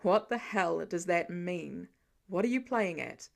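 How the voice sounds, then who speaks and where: Storybook dad type, acted by a woman: gentle but gruff, guarded, informal clipped lines, slightly lowered voice. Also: expressive, measured pace. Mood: angry. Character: gentle, guarded adult man, fatherly and casually gruff.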